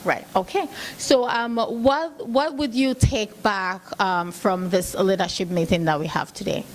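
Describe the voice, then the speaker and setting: Woman TV host asking halting questions. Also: medium pitch, a little hiss or hum. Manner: friendly, halting questions with fillers. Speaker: TV host interviewing at an event. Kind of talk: casual interview hosting. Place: at a forum in a hotel venue.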